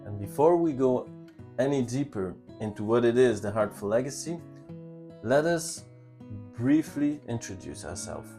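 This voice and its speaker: Slow, earnest Belgian man. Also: medium pitch, lively intonation, dark tone, faint music behind. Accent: Flemish Belgian. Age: 30s. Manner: earnest, halting, with restarts. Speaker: channel co-host. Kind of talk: personal life story, casual talk.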